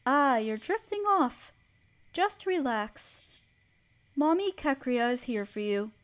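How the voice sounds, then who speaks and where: High-voiced motherly spider queen, played by a woman. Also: big pitch swings, full character voice. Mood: soothing, tender. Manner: soft, soothing, deliberate storytelling. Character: gentle motherly spider queen, older, sorrowful, regal, protective. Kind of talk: comforting roleplay audio drama.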